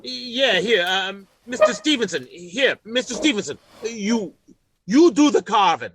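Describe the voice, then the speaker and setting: A man's voice: high-pitched, animated, unhurried, background noise. An actor playing a blustering, eager family man in an old-time radio comedy, with a flustered, bumbling way of talking. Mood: jovial, nervous.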